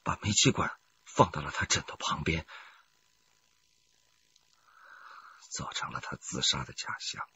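An actor playing a frightened, remorseful murder suspect making an agitated, halting confession in a radio crime drama. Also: male voice, very deep voice, expressive, pushed and bright, whispered, exaggerated voice. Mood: shaken, subdued. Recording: dull lo-fi sound, faint noise.

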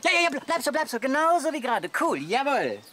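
Smooth, gushing photographer who orders people around, animated delivery, radio play with faint outdoor sounds behind. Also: male voice, extremely high pitch, brisk, a little hiss or hum, exaggerated voice. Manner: gushing, directive, slick. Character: smooth-talking, bossy advertising photographer. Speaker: actor in a radio comedy. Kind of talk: German radio play acting.

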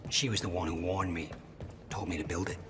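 An actor as an urgent, low-voiced male scientist. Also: steady tone, fairly quick, over music, close to an everyday voice. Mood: thoughtful. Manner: urgent, explanatory, breaking into hushed whispers. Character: intense, insistent male scientist, older brother of the hero. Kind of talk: cinematic video game acting.

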